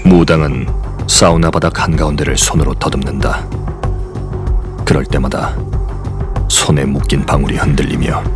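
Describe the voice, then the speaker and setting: A man's voice: rumbling low, little pitch movement, moderate pace, long pauses, music in the background. An actor playing a curt male field agent who narrates and gives clipped commands. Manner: clipped commands, dry asides. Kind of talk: audio drama narration and acting.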